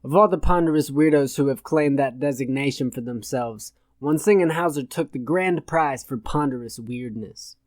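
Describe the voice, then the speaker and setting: A man's voice: mid-range voice, normal speed, hardly any pauses, no room sound. Man reading a satirical audiobook, dry sound. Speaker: audiobook narrator. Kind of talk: scripted narration of satirical fiction.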